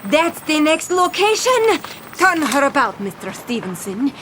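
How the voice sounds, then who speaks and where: A bold woman pirate captain in a cartoon adventure, with a high voice that swoops theatrically. She is bossy and treasure-hungry, talking in salty pirate slang over a noisy backdrop. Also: big pitch swings, a little slow, a bit bright, full character voice. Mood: excited, bossy. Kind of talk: animated cartoon adventure storytelling.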